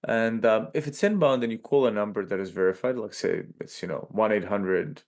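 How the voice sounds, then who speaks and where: A man with a Serbian accent, a podcast guest talking in a loose, animated way and tagging lines with "right?". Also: lowish voice, a little fast. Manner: animated, peppers speech with "like" and "right?". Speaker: podcast guest, tech company chief. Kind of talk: casual interview talk.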